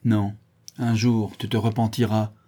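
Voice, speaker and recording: A man's voice: on the low side, level tone, measured pace, mellow. A man reading a storybook as a stern avenger who gives orders and passes judgement in a solemn, imperious way. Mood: solemn, accusing.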